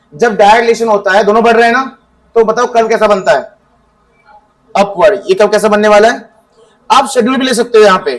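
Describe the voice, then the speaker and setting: Male teacher, high voice, quizzes the class and answers himself. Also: rough recording. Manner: asks students questions and answers them himself; reads definitions from the book slowly. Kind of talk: classroom economics lesson.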